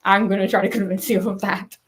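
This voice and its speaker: A young woman with a rusty, gruff voice and a goofy, frequent laugh chats with her livestream viewers. She rambles in a casual way, full of fillers and little restarts. Her pitch sits in the middle of the usual range for a woman. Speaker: cosplay livestreamer.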